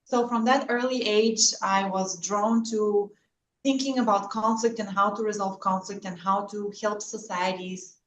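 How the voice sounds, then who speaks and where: A woman from Bulgaria speaks as an expert guest, earnestly explaining her background. She sounds a little away from the mic in an echoey room, with faint noise behind her mid-pitched voice. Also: fairly even intonation, measured pace, far from the mic. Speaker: interview guest, security expert and author. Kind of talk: earnest account of own background.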